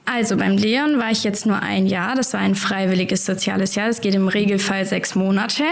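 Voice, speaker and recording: A woman's voice: mid-range voice, fairly even intonation, moderate pace, gravelly. A nineteen-year-old woman introducing herself as a holiday program caregiver and explaining at length. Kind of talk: interview self-introduction.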